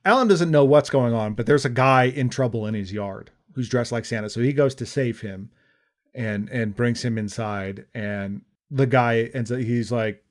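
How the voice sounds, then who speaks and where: Laughing, animated podcast co-host man. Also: mid-range voice, moderate pace. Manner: animated, laughs often, acts out quotes. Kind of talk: casual chat reviewing a movie.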